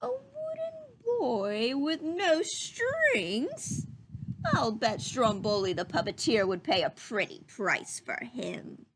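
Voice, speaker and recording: A woman's voice: a little high, sing-song, slow pace, a bit bright, a little hiss or hum, full character voice, rough recording. A woman voicing a sly, greedy male fox from a storybook, calculating and drawling. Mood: scheming.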